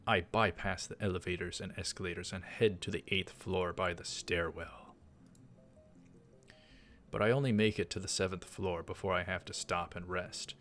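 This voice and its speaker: A man's voice: sits low, steady tone, moderate pace, a bit bright. A man hosting a let's play, reading a visual novel aloud and adding casual, hesitant, rambling asides. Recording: faint music behind. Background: visual novel background music.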